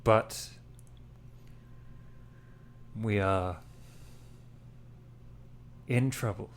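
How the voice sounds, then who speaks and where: A man playing a grave priest among monster hunters in a tabletop game, speaking in deliberate, formal, halting phrases. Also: lowish voice, slight background noise.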